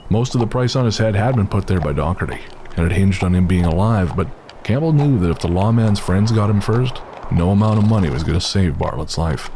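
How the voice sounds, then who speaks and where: A man's voice: low-pitched, lively intonation, a little fast, warm tone, a little hiss or hum. A man giving scripted narration as the storyteller of a western horror audio drama. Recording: music bed behind the voice.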